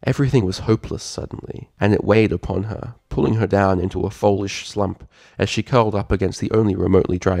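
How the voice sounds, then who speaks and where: Deep-voiced man narrating a story, slightly gravelly. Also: a little mellow, no echo, studio quality. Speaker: fan fiction narrator.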